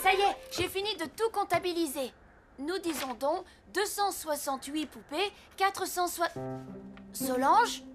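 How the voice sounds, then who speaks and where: Casting: earnest boy apprentice for kids' cartoon, high voice, eager, inquisitive. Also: measured pace, over music. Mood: busy, surprised. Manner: eager, questioning. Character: earnest, curious young boy, Santa's apprentice. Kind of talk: French children's cartoon dubbing.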